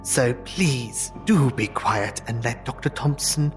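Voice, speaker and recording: An actress playing a deferential but curious Victorian dissection assistant in an audio drama, hesitant and measured. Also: female voice, extremely low pitch, expressive, soft background music, exaggerated voice. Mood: explaining, firm.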